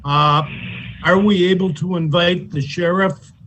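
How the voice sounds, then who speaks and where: A man's voice: high-pitched, steady tone, a little slow, fairly bright tone, slight background noise, low-quality recording. A man speaking as a county supervisor, politely and hesitantly asking questions in a public meeting.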